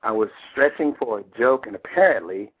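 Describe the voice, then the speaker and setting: Low, husky co-host on a phone line. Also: male voice, lively intonation, a little fast. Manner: playful, joking, laughs a lot. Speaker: radio co-host. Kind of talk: casual call-in radio chat.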